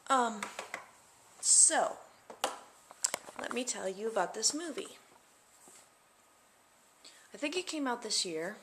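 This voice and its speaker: A young woman talking to her camera in a loose, rambling diary style, full of "like" and "um". Her voice sits at a middling pitch. Faint background noise runs under her talk. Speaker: vlogger. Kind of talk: casual diary vlog to camera.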